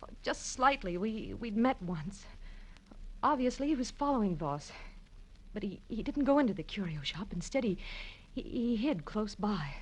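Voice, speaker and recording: An actress playing a scheming, defensive femme fatale with a halting, dramatic delivery in a 1940s radio crime drama. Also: female voice, mid-range voice, big pitch swings, breathy edge, rough recording. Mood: anxious, confessing.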